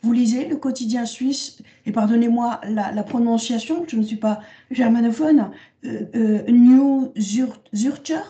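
A woman's voice: on the high side, measured pace, warm tone, echoey room. A woman interviewer who politely asks long, carefully framed questions. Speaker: interviewer, host.

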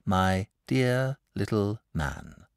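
A man voicing a lazy, gentle, cultured male dragon in a storybook reading, languid and unhurried. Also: on the high side, huge pitch swings, no room sound, studio quality, voice pushed up, full character voice. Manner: languid, unhurried, mildly plaintive.

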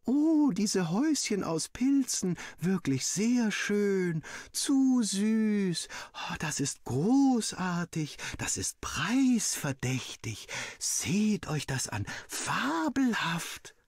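A man's voice: sits high, big pitch swings, darkish tone, dry recording, studio quality, pitched above the performer's own voice, exaggerated voice. A man voicing a gushing teacher who coos with exaggerated, syrupy delight in a storybook narration. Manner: drawn-out, syrupy, swooning.

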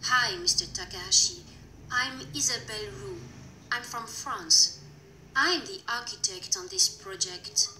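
A courteous architect in a textbook listening exercise. Her voice is bright and high, and it rings with room echo, as if played back through a speaker. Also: expressive, unhurried, a little hiss or hum, heavily acted. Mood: polite. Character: woman architect introducing herself. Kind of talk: scripted textbook dialogue.

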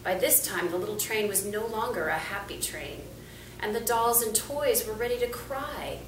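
Animated woman reading a picture book, roomy sound. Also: mid-range voice, moderate pace. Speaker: volunteer storybook reader. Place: small indoor room, seated. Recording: roomy, distant camera mic, faint noise.

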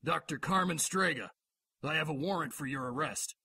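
Sharp, husky police lieutenant. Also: male voice, a little high, moderate pace, no room sound, studio quality, heavily acted. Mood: official, wary. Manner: blunt, official. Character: firm, dry-witted male police lieutenant. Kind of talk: radio drama acting.

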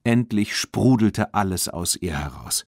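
A low-voiced man narrating a children's audiobook. His delivery is expressive and very melodic, following a script. The recording is dry and close. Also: a little slow, no room sound.

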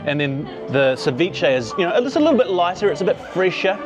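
A man in his forties with a New Zealand accent, excitedly tasting food and touring rooftop bars, filmed on a handheld camera. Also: high voice, brisk. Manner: enthusiastic, chatty, exclaims over food. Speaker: food vlogger. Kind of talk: casual food and travel vlog. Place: rooftop bars, outdoors and under cover. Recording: music playing behind.

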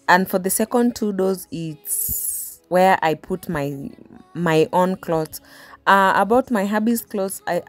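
A young woman, chatting casually to viewers as she tidies her bedroom, recorded on a phone. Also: on the low side. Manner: chatty, talks viewers through her tidying with small restarts. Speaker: vlogger. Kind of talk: casual home vlog narration. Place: bedroom at home.

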